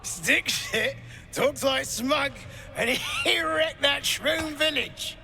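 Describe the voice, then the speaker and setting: A crude slaver bully from a fantasy game, pitched very high and piercingly bright. He jeers and swaggers in a coarse drawn-out way, with faint music behind. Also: expressive, gravelly, full character voice. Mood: rowdy.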